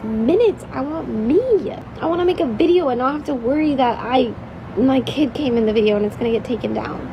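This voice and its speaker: High-pitched woman, exasperated mom venting to her phone, noisy recording. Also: animated, fairly quick, lo-fi sound. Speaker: mother. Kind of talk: casual phone vlog.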